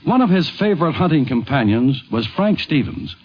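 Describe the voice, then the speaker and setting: A man hosting with polished, measured, scripted narration in a 1950s radio documentary. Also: fairly high pitch, big pitch swings, slight background noise. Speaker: host, narrator. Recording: dull 1950s radio recording.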